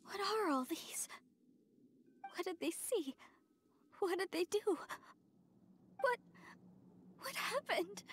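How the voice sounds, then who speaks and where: A woman's voice: very high-pitched, sing-song, very slow, long pauses, slight background noise, exaggerated voice. A woman playing a devout, proud young woman in a video game, with a dramatic, earnest delivery. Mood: stunned, faltering. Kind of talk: English video game voice acting.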